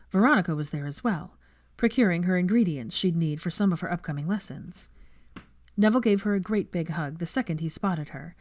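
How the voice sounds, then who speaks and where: A woman narrating fiction as an audiobook reader, in an expressive scripted storytelling style. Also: lowish voice, no echo, studio quality.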